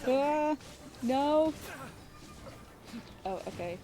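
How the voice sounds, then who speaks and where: Gamer girl talking to herself while playing, super swoopy mellow voice, giggly and unsure, faint music behind her. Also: sits high, animated, very slow. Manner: self-deprecating, giggly, hesitant, thinking aloud. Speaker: game streamer playing and commenting. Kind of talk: casual gameplay commentary.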